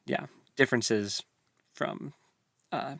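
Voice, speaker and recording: A man's voice: medium pitch, slow, creaky, a bit bright, dry recording. A man talking casually about a novel with his co-host, circling and rephrasing as he goes. His mid-range voice comes across dry and close, with no room sound. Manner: rambling, lots of fillers and restarts, easygoing. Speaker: book podcast co-host. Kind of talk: casual book discussion.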